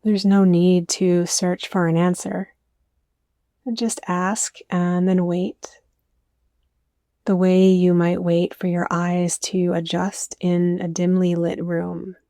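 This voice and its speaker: A woman with a dark, relaxed voice that stays very even in pitch. She narrates a guided meditation in gentle, soothing invitations, recorded close and dry. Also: no echo. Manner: soothing, unhurried phrasing with soft invitations.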